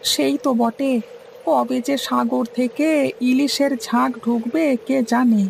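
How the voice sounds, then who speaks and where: A woman voices a young fisherman's helper with a highish, distinctly creaky voice. The lad is eager and deferential, full of slightly fretful questions in a cartoon village tale. There is faint ambience behind. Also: fairly even intonation, a little slow, heavily acted. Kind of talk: Bengali cartoon story dialogue. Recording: faint background noise and ambience.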